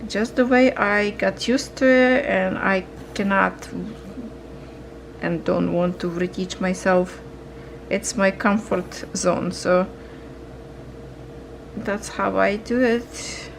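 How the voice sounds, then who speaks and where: Slow-talking woman with a gravelly, kinda hoarse voice, chatting to viewers while she stitches, faint hiss behind. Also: mid-range voice, poor audio. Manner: slow, musing, talks to viewers while working. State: losing her voice, coughing. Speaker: cross-stitch vlogger. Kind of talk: casual chat while stitching.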